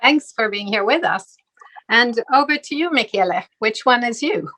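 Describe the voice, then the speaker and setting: A woman's voice: fairly high pitch, animated, moderate pace, pushed and bright. A woman moderating a live panel discussion, speaking with frequent hesitations and fillers. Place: event stage.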